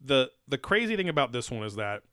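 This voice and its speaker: A man's voice: medium pitch, big pitch swings, a little fast, a bit bright, smooth, dry recording, studio quality. A man chatting casually as a podcast host, rambling and swearing freely, with lots of fillers and restarts. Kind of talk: casual chat reviewing a TV show.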